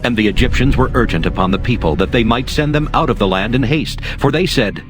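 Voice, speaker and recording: A man narrating a dramatized scripture reading in a steady, formal manner over music. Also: medium pitch, brisk. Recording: music under the voice.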